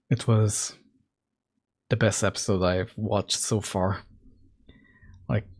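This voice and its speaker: Hoarse male streamer, low husky voice, unhurried, on a close mic. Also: darkish tone. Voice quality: husky, deeper than usual. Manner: slow, thinking aloud with fillers. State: hoarse, recovering, coughing. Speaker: game dev livestream host. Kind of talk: casual coding livestream chat. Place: home room, at night. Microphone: close mic right in front.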